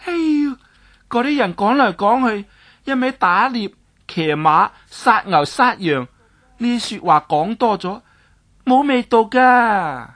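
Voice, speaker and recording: A woman voicing a mischievous, willful young girl who wheedles and pleads in a drawn-out way. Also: on the high side, expressive, fairly bright tone, heavily acted. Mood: wheedling. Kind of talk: Cantonese storytelling dialogue.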